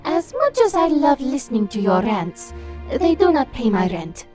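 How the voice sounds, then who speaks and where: A woman's voice: sits high, very animated, normal speed, music in the background. A woman playing a wry young quarian woman. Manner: teasing, wry.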